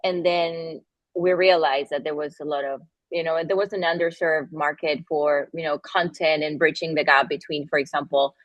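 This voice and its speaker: A woman from Argentina, with an Argentinian accent and Spanish as her first language, talking in a casual interview, halting often with fillers and restarts. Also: low-pitched, steady tone, dry recording, lo-fi sound. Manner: halting, with many fillers and restarts, laughs between phrases. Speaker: guest, company founder.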